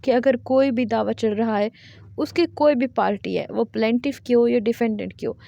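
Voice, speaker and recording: A woman teaching law, reading each statute out slowly, word by word, and then explaining it in plain terms. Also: on the high side, gravelly, dark tone. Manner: reads English legal text slowly word by word, then explains in Urdu. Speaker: law lecturer.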